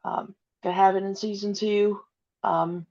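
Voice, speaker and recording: A woman being interviewed about her audio drama, speaking hesitantly with many pauses and fillers. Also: medium pitch, steady tone, a little mellow. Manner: hesitant, many ums and uhs. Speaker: podcast guest, audio drama creator. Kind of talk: casual interview chat. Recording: dull lo-fi audio.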